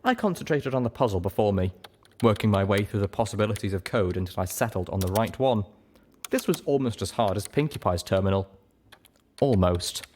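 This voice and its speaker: Low-voiced, very animated male story narrator. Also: brisk, slight background noise. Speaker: audiobook reader. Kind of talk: expressive first-person scripted narration.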